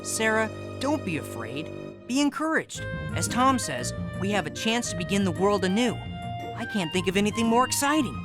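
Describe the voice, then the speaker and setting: A woman plays an eager teenage boy in a cartoon. The voice is animated and self-assured, over loud background music. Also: mid-range voice, normal speed, natural voice. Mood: assured, hopeful. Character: confident, eager teenage boy, colonial apprentice reporter. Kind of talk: animated series acting.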